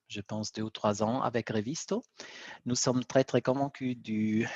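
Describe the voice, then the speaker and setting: A man whose first language is Italian, speaking with an Italian accent, presents on a webinar, pausing often to search for words. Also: mid-range voice, dry recording. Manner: halting, searching for words, frequent fillers. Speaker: guest speaker, BIM coordinator. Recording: dry, dull lo-fi webinar audio.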